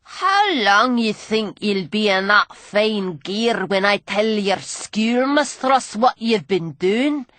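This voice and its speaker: A woman voicing a drunken, grimy old male street musician with a cracked, ragged voice and a slow, sneering drawl. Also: medium pitch, animated, fairly bright tone, no room sound. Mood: sly, wheedling.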